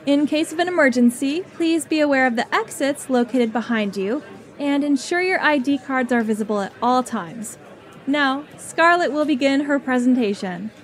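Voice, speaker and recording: A woman's voice: high voice, moderate pace, a faint crowd, close to an everyday voice. A woman acting a murderous alien posing as a conference presenter in an audio drama, sweetly officious and taunting.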